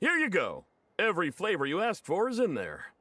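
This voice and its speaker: A man voicing a friendly, upbeat young sweets vendor in a video game, chatty and expressive. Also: high-pitched, fairly quick, heavily acted. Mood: cheerful, grateful. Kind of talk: animated video game voice acting. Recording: music under the voice.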